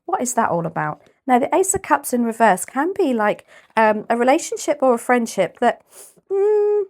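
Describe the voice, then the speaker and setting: A woman with a British accent giving a casual tarot reading, chatty and rambling, her voice a little croaky and often clearing her throat. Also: fairly high pitch, sing-song, moderate pace, darkish tone. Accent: British English. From United Kingdom. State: slightly croaky voice. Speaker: tarot reader.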